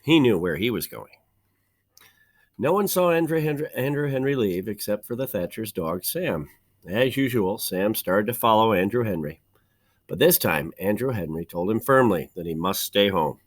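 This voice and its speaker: Man reading a storybook with very lively intonation. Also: on the low side, normal speed. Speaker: teacher reading a storybook aloud. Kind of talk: expressive children's storybook narration.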